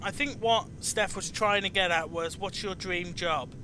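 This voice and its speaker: A man in his twenties with a British accent, hosting a casual online chat in a halting way, often repeating words. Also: sits high, sharp tone, a little hiss or hum. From United Kingdom. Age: 20s. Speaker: podcast host.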